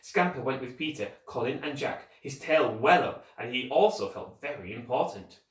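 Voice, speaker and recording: A man reads a children's story aloud like a teacher. His narration rises and falls with lots of expression, at a middling pitch. The sound is roomy, picked up some way from the microphone. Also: expressive, moderate pace. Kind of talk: animated storytelling, scripted narration. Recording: roomy echo, distant microphone.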